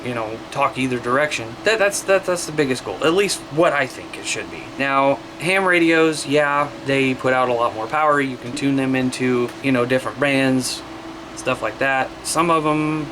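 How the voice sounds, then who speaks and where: Man, medium pitch, from the US Midwest, casual hobby vlogger, rambling plain talk, noticeably noisy recording with a steady hum. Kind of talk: casual demonstration and chat to viewers.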